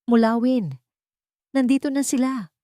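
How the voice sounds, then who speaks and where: A woman's voice: fairly high pitch, unhurried, warm tone, dry recording, studio quality, pitched above the performer's own voice, lightly acted. A woman playing a frail, frightened old mother, pleading and bitter, in an audio drama. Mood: fearful.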